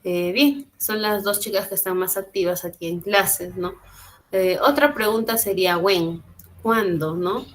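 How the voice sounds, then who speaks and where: A woman's voice: mid-range voice, measured pace. A woman teaching an online English class, patient and encouraging, repeating and translating phrases. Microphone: computer mic on a video call.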